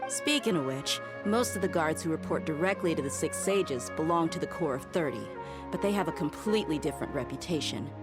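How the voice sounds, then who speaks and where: An actress playing a bold, confident desert mercenary leader in a video game, casual and assertive. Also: female voice, low-pitched, animated, brisk, loud music behind the voice. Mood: confident, eager. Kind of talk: scripted game dialogue.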